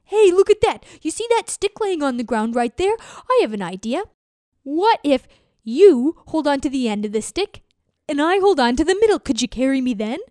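A woman voicing a talkative, excitable young male turtle who rushes his words together. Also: sits high, no room sound, clean studio sound. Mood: excited.

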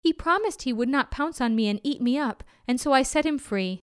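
A woman's voice: high voice, expressive, a little fast, clear, resonant tone, no echo, clean studio sound. A woman voicing a kindly, earnest male Brahmin in a storybook, speaking with patient, rueful sincerity. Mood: sorrowful. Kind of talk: storybook character voice.

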